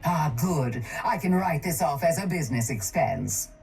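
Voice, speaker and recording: An actress voicing a cold, calculating, wealthy woman crime baron in a video game, smug, measured and condescending. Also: low voice, very animated, creaky, darkish tone, breathy edge, soft background music, full character voice, rough recording. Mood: dry sarcasm.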